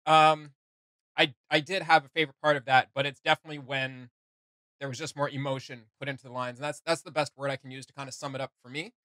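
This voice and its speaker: A man's voice: mid-range voice, steady tone, quick pace, pushed and bright, no echo. A young man giving casual reaction commentary as a video host. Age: young adult. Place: small indoor room with gaming setup.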